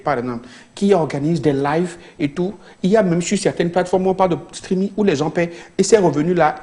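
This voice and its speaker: A man's voice: on the high side, darkish tone. A man, a studio panel guest, making his case with growing animation. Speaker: talk show guest.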